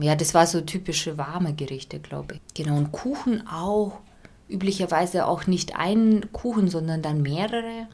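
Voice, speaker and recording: Hesitant, low-voiced woman from Ukraine. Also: vocal fry, darkish tone. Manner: thoughtful, hesitant, many fillers and restarts. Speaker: interviewee. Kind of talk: casual interview answers.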